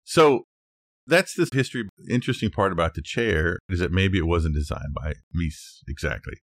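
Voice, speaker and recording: Male co-host, deep register, dry studio-tight sound, casual explainer who hedges as he goes. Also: expressive, normal speed, no room sound. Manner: conversational, hedging, thinking aloud. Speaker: podcast co-host. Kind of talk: casual design discussion, telling researched history.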